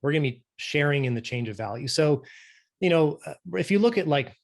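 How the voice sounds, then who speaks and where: A man's voice: a little high, animated, a little fast. A man originally from Norway, a podcast guest explaining things patiently and conversationally, often checking in with tag questions. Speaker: guest, company director.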